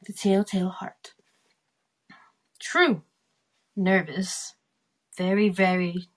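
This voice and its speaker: A woman voicing a nervous, obsessed murderer from a horror storybook who insists on being sane, with deliberate, dramatic, halting delivery. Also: mid-range voice, level tone. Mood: nervous, insistent.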